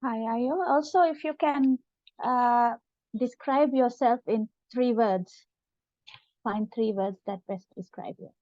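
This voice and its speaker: A woman's voice: a little high, slow, warm tone, no room sound. A woman hosting an online workshop, who invites participants to speak and encourages them in a friendly way. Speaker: session host. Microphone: video call. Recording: muffled video call audio.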